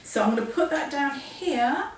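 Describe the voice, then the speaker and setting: A hoarse woman walks through a sewing lesson step by step, chatty and self-deprecating. She stands well back from the mic in a very echoey workroom. A faint hiss sits under her voice. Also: high-pitched, expressive, normal speed, distant mic. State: hoarse, losing her voice from a cold. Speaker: sewing instructor. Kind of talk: hands-on sewing tutorial.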